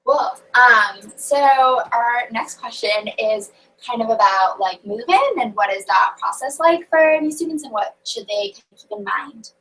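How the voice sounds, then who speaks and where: Young woman host, brisk and bright, slightly distant video call mic. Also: fairly high pitch. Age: young adult. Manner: bubbly, many fillers. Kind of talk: casual video call chat.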